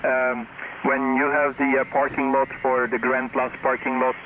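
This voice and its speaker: A man's voice: mid-range voice, flat delivery, normal speed, lo-fi sound. Conversational man over noisy ham radio. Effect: received over amateur radio. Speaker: amateur radio operator. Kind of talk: casual ham radio chat. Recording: noisy amateur radio reception.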